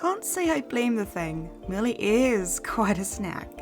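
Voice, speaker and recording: A young woman co-hosting a casual scripted recap commentary, playful and quick to swear. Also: medium pitch, very animated, music in the background. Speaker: co-host.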